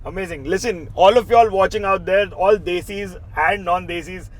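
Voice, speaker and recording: A man's voice: high voice, normal speed, poor audio. A man hosting a casual interview show, upbeat and talking straight to the viewers. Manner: upbeat, speaks to viewers directly. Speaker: show host.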